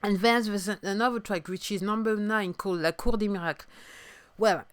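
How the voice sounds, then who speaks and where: A French-accented woman in her fifties muses aloud, pausing and restarting as she hunts for the right words. Her voice is smooth and resonant, recorded close and dry with no room sound. She speaks English as a second language in an unhurried, thoughtful way. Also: mid-range voice, fairly even intonation, dry recording. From France. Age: 50s. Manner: halting, searching for words, soft and musing. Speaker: singer-songwriter podcaster. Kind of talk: reflective solo podcast talk.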